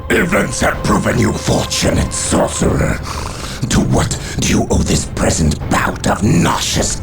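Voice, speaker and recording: A man voicing a haughty, cruel traitor space marine villain in a video game, sneering and pompous with a gravelly voice. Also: extremely low pitch, heavily acted. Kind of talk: theatrical villain game acting. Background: music.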